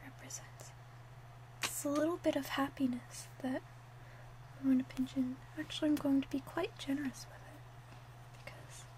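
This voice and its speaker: A woman playing a gentle, attentive witch in a soft, unhurried whispered ASMR roleplay. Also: high voice, fairly even intonation, close to an everyday voice, rough recording. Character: gentle, attentive witch mixing love potions.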